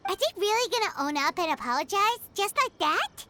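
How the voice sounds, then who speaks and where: Squeaky, tiny fairy companion. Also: female voice, sing-song, fairly quick, pushed and bright, slight background noise, exaggerated voice. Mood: curious. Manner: exclamatory, wide-eyed. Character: tiny excitable childlike floating fairy companion, female. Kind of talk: video game voice acting. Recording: game music behind the voice.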